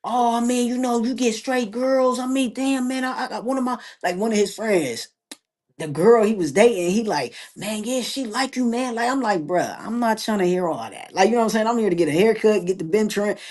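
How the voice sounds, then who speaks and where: Man with a low, sultry, playful drawl. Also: fairly quick, darkish tone. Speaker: podcast guest. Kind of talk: casual conversational storytelling.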